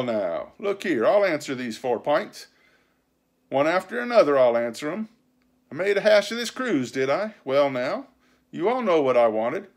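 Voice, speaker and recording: A scornful, commanding pirate captain from a sea-adventure storybook. The voice rides high and swoops widely, full of sly sarcasm and blustering, salty sailor talk. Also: male voice. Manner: rough nautical slang, sarcastic, blustering speechifying.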